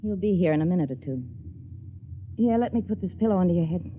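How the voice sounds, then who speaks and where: Woman plays efficient, sympathetic space stewardess, very animated pitch, vintage radio drama on a dull recording. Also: close to an everyday voice. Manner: brisk, soothing, coaxing. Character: brisk, caring rocket-ship stewardess, efficient and sympathetic. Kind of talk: 1950s radio drama acting. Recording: dull old radio recording, faint hiss.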